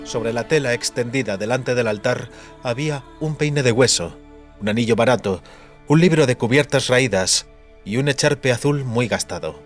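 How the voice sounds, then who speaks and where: A man's voice: mid-range voice, moderate pace. A man reading dramatic scripted narration as an audiobook narrator. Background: music.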